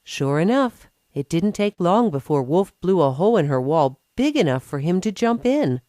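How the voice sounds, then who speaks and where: A woman's voice: on the low side, big pitch swings, moderate pace, warm tone, dry recording, clean studio sound. A woman narrating a scripted children's bedtime story as a storyteller.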